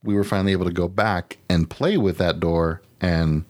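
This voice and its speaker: A man's voice: low-pitched, fairly even intonation, moderate pace, a little mellow. A man hosting a podcast with casual comedic banter, rambling and swearing freely as he goes. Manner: rambling, profane, easily sidetracked. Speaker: podcast host.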